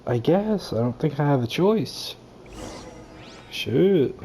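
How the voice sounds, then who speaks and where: A man's voice: on the high side, animated, slow, background noise. A young man giving casual, blunt and sarcastic reaction commentary as he plays through a video game, swearing freely. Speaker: gamer recording a playthrough. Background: video game sound.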